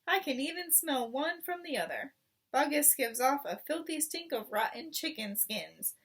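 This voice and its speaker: A woman's voice: high voice, animated, moderate pace, fairly bright tone, pitched above the performer's own voice. A woman voicing a cocky, affectionate fox husband in a storybook, jaunty and self-assured, recorded at a distance. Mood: cocky, boastful. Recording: voice distant from the mic.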